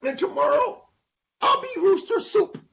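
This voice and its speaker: Man doing a whiny, high-pitched old rooster. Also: big pitch swings, unhurried, creaky, fairly bright tone, exaggerated voice. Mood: whining, sorrowful. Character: old male barnyard rooster, plaintive and excitable. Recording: dull, lo-fi recording.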